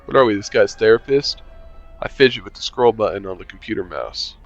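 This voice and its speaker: A man's voice: on the low side, expressive, fairly quick, highs cut off, faint music behind. A man reading a visual novel aloud with commentary, making dry, sarcastic asides and swearing casually. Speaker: game streamer.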